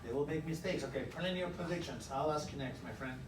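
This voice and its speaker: A man's voice: on the high side, fast, distant mic. A man teaching young pupils, reading a storybook aloud expressively and asking questions in an encouraging, emphatic way. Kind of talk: interactive read-aloud, storytelling and questioning children. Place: indoor classroom. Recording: roomy, noisy classroom, recorded from afar.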